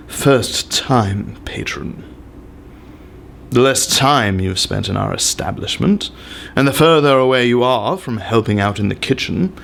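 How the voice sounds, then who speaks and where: A man reads an essay aloud as high drama, pompous and heavily emphatic. His voice sits somewhat high and swoops widely in pitch. There is some noise in the recording. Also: vocal fry. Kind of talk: theatrical dramatic reading of an essay.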